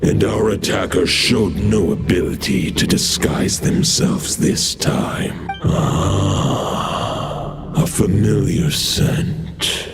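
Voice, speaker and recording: A man voicing an ancient, lordly wolf spirit guardian in a fantasy game, deep and gravelly, speaking in solemn, archaic phrases. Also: steady tone, extremely slow, heavily acted. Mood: solemn, guarded. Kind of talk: video game fantasy voice acting. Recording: loud game music behind voice.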